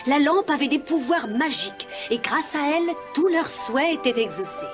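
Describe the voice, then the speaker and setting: A woman's voice: high voice, animated, normal speed. A woman narrating a scripted children's story as the narrator. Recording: loud music under the voice.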